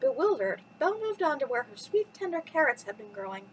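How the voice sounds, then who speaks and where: Storytime host: woman, twenties, very high voice, cheerful and addressing kids, slight noise behind her. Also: very animated, a little fast. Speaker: host reading bedtime stories to children. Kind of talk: cheerful storytime talk and expressive story reading.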